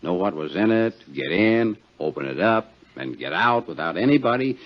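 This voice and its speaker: An actor playing a talkative, excitable insurance man in a 1950s radio drama, emphatic and folksy, full of rhetorical questions. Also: deep voice, normal speed. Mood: exasperated, amazed. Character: talkative, excitable male insurance colleague named Frank. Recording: dull 1950s radio recording.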